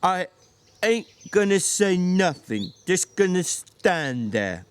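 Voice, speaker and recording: A man voicing a simple-minded, childlike man in a story reading, eager and forgetful, with a halting, stammering delivery. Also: high-pitched, very animated, slight background noise, heavily acted. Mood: slow, droning.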